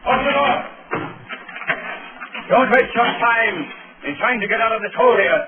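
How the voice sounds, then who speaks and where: An actor in 1930s radio drama style playing an arrogant, menacing spy ringleader who sneers and barks commands. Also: male voice, extremely high pitch, moderate pace, noisy background. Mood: imperious. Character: arrogant, threatening male spy ringleader villain. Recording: tinny, band-limited 1930s radio recording with background clamor.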